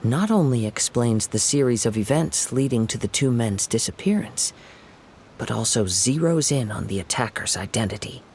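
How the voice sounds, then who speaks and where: A man voicing a calm, thoughtful young wandering samurai in a video game, speaking in measured, formal phrases. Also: medium pitch, a little hiss or hum, natural voice. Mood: calm, pensive.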